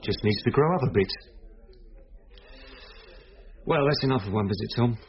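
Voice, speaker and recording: An actor playing a calm, reassuring male police inspector who explains things in a measured way, in radio drama style. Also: medium pitch, natural voice. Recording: slightly dull radio sound.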